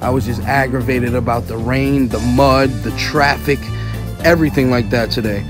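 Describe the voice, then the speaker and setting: A man's voice: medium pitch, steady tone, moderate pace, music in the background. A man in his thirties, a truck driver talking to his phone at arm's length, exasperated and chatty, often saying bro. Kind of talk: casual vlog to camera. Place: outdoors at farms and loading docks, and in a truck cab. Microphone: phone held at arm's length.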